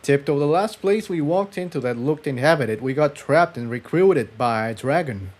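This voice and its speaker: A man voicing a wry, cautious male unicorn pony who tinkers, in a dry, low-key manner. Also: animated, normal speed, darkish tone. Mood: wary.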